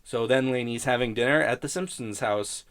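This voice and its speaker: A man hosting a TV criticism podcast in a casual, rambling, halting and sardonic way, prone to swearing. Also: mid-range voice, fairly bright tone.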